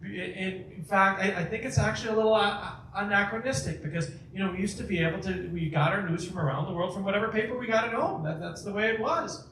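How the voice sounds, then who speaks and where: A man from Chicago giving a public talk and taking audience questions, lively, with quick asides and restarts. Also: sits high, slight background noise. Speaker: guest speaker. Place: community center hall. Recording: echoey hall, mic at a distance.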